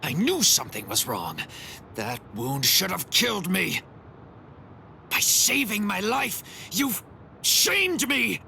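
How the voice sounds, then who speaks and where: An actor playing a proud, brash wounded fighter in a video game, with a gruff, mocking and dramatic delivery. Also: male voice, high voice, sing-song, slow, pushed and bright, slight background noise, heavily acted. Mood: outraged. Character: brash wounded young male warrior, proud and scornful. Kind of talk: English video game voice acting.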